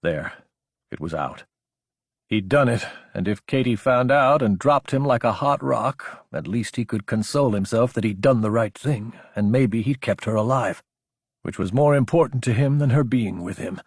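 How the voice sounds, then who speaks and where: A man's voice: medium pitch, lively intonation, moderate pace, breathy edge, no room sound, studio quality. A man narrating scripted dramatic fiction as an audiobook narrator.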